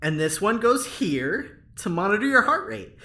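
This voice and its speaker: A man's voice: sits high, expressive, normal speed, vocal fry, pushed and bright, heavily acted. A man playing a flippant, wisecracking lab engineer in an audio drama, with a playful way of talking. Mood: playful, teasing. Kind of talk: scripted audio drama dialogue.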